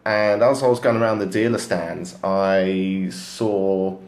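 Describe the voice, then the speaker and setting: Loud, low-voiced chatty magician man. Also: steady tone, slow. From Hong Kong, to British parents. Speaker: close-up magician guest. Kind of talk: casual interview chat. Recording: echoey room.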